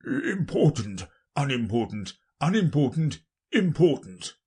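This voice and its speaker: A blustering old king as a narrator acts him out, pompous and muddled. He speaks loudly and emphatically, with big swings in pitch and a rough edge. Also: huge pitch swings, unhurried, full character voice. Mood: musing.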